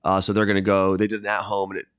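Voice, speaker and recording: A man's voice: low-pitched, monotone, racing, a bit bright, clean tone, no echo, studio quality. A man co-hosting from where he is staying, talking in a laid-back, hesitant way over a video call. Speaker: co-host. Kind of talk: casual chat. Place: staying in Florida.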